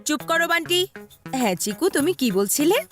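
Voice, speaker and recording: A woman's voice: sits high, animated, a little fast, fairly bright tone. A woman voicing an exasperated, scolding mother in a dubbed children's cartoon. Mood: stern. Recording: music under the voice.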